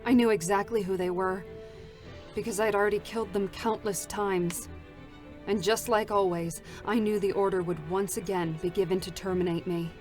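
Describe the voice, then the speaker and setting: A woman voicing a weary, battle-hardened female android deserter, speaking in a cold, flat, bitter way during a live concert reading. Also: mid-range voice, moderate pace, natural voice. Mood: somber. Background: faint music.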